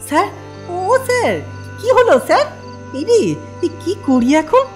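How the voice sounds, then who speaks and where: A woman voicing an impudent but good-hearted young male petty thief in a comedy cartoon, with animated rustic speech. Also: extremely high pitch, a little slow, heavily acted. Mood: panicked. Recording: faint music behind.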